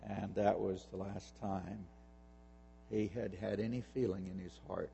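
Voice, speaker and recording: A man telling a personal story out loud, halting and repeating words as he goes, with a long hall reverb around his voice. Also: deep voice, flat delivery, vocal fry, muffled recording, a little hiss or hum.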